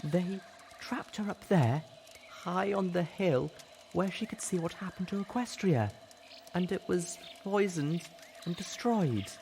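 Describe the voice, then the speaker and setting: Female unicorn healer male reader, high and hushed, slow whimper, grief-stricken, fantasy audiobook. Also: expressive, gravelly, slight background noise, heavily acted.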